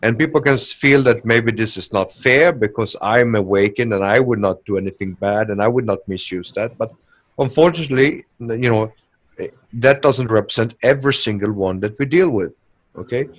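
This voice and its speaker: A man talks to listeners as a guest, earnest but hesitant, often pausing on fillers. His voice sits low. He speaks plainly and sincerely. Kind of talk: casual conversation to listeners.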